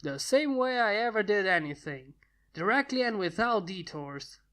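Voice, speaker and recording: A woman's voice: mid-range voice, expressive, normal speed, fairly bright tone, natural voice. A woman voicing a stern, blunt male lord who speaks solemnly and commandingly in a fantasy story. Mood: curt.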